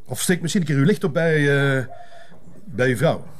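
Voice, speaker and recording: A man's voice: a little high, moderate pace, natural voice. Man acting police commissioner, Flemish Belgian, placating, harried, officious, hesitant, naturalistic TV drama. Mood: defensive. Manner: hesitant, blustering.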